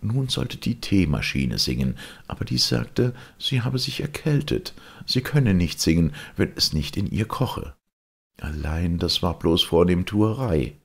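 A man reading a fairy tale aloud as an expressive audiobook storyteller. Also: low voice, unhurried, creaky, husky.

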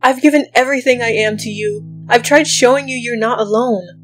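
A woman's voice: fairly high pitch, a little fast, soft background music, natural voice. A woman playing a devoted, wounded but defiant inventor in a dramatic comic dub, speaking earnestly with emotional weight. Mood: pleading.

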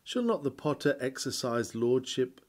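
Guy reading an old-time sermon out loud, mellow medium-pitched voice, solemn and kinda oratorical. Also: very animated. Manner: solemn, declamatory, measured emphatic phrasing. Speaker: reader of a historical sermon. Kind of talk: sermon read aloud, formal scripted oratory.

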